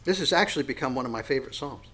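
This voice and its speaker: A man in his sixties leads an informal Bible study, speaking at an average pitch. He talks in a folksy, self-deprecating way, often restarting sentences and filling pauses with "uh.". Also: lively intonation, pushed and bright, a little hiss or hum. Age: 60s. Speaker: pastor.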